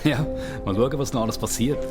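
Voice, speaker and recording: A man's voice: medium pitch, a little fast, close to an everyday voice. Actor as polite, reserved young Swiss man. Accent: Swiss German. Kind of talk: children's radio play acting. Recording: faint music behind.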